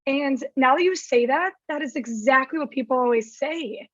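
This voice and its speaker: A raspy-voiced young woman talks in a loose, rambling way, packing her sentences with fillers. Her voice sits fairly high. The sound is dry and slightly muffled. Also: normal speed, fairly bright tone, no echo. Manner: chatty, heavy use of "like". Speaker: podcast guest. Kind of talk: casual chat.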